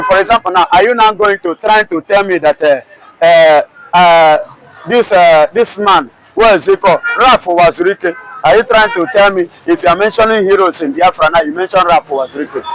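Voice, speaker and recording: A man's voice: high-pitched, poor audio. A man with a Nigerian accent, arguing passionately in a street interview with many rhetorical questions and restarts.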